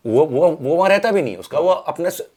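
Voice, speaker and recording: A man's voice: fairly high pitch, lively intonation, moderate pace, darkish tone. A man speaking as an interview guest, telling stories conversationally and explaining with rhetorical questions and acted-out examples. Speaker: interview guest from the film industry. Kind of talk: animated storytelling in casual conversation.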